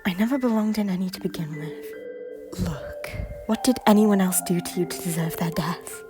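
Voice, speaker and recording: A woman's voice: lowish voice, normal speed, lightly acted. A woman playing a guilt-ridden former queen. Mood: defiant. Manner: hushed, often whispering. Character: guilt-ridden former Scottish queen, defiant yet remorseful. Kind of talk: amateur audio drama acting. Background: faint music.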